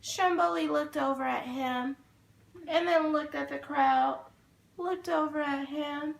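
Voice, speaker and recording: A woman telling a children's fairy tale in an expressive, dramatic, improvised way. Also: high-pitched, slow pace, creaky. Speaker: storyteller. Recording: roomy echo, faint background noise.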